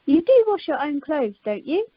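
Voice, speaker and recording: A woman's voice: sits high, very animated, moderate pace, dry recording. A woman playing an eager, coy and playful romantic woman in an amateur radio play over a phone line. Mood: teasing. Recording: muffled telephone conference line.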